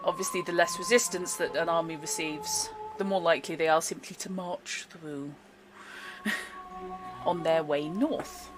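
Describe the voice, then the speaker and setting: A woman narrating as game master in a chatty, explanatory way, with fillers and quick laughs. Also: on the low side, fairly even intonation. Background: background music.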